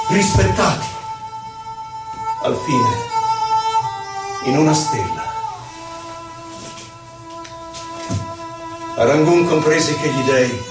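An actor playing an impassioned, solemn poet who recalls his life in theatrical, declamatory verse with measured phrasing. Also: a little high, natural voice. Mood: bitter, solemn. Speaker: actor in a poetic theatre piece for radio. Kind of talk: dramatic poetry recital. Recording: roomy echo, distant mic, violin music. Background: violin music.

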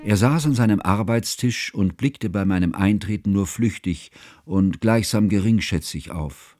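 An actor playing a devoted scholar who narrates a literary radio drama in a measured, formal, solemn manner. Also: male voice, fairly low pitch, a little mellow, soft background music, natural voice. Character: grave, devoted male scholar narrating his friend's tragedy.

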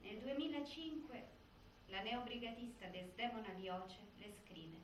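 An actress playing a sober, formal narrator, reading scripted documentary narration for the stage. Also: female voice, fairly high pitch, unhurried, close to an everyday voice. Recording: echoey stage, distant, faint noise.

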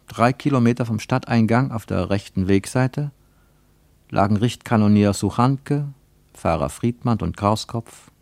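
A man reading scripted literary narration for radio in a measured, solemn manner. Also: on the low side, gravelly, dry recording.

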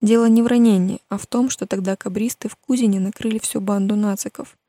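A woman's voice: mid-range voice, fairly even intonation, fast, warm tone, natural voice. A woman voicing a reserved, sombre teenage girl in an audiobook, terse and guarded. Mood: guarded, confessing.

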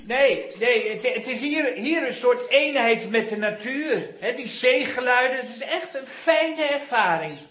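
A woman's voice: a little high, animated, unhurried, few pauses, fairly bright tone, slight background noise. An actress playing an earnest, gushing female customer in a Dutch radio comedy. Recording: echoey tiled room.

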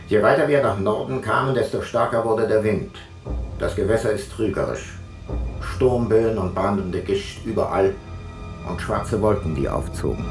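Radio play: solemn ancient seafarer, lowish measured voice reciting a logbook, music behind. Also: male voice, a little mellow. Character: ancient Greek male seafarer reciting his grave logbook.

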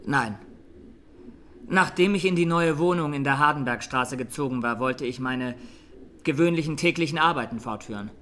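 A man playing a traumatised, earnest young Armenian student giving plain, deliberate testimony as a defendant in a radio drama. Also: mid-range voice, a bit bright, slight background noise, natural voice. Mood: weary, troubled.

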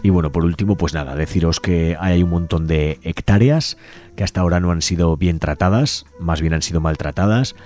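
A man presenting a community radio show, reading out a manifesto and describing a project in a measured, slightly halting way. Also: deep voice, music in the background. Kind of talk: community radio presentation, partly read aloud.

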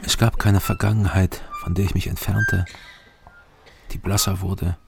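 An actor playing a haunted middle-aged former border guard from East Germany, narrating his thoughts in a subdued, halting way in a radio drama. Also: flat delivery. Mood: haunted, brooding. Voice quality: low, gravelly. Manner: subdued, halting, weighty short phrases. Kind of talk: radio drama inner monologue. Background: instrumental music.

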